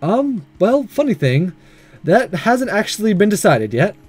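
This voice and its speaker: A man's voice: high voice, very animated, a little slow, a little mellow, slightly rough, heavily acted. Man reading as a coy, flirty female teacher. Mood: coy, inviting. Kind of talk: visual novel read-aloud. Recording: faint music behind.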